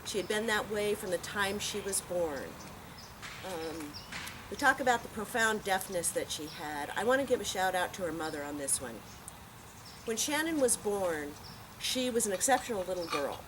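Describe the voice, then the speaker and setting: A woman's voice: mid-range voice, animated, normal speed, noisy background. A woman giving an informal, unscripted eulogy in a plainspoken, conversational way, speaking outdoors over a microphone. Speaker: eulogy speaker, relative of the deceased. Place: outdoors in a park.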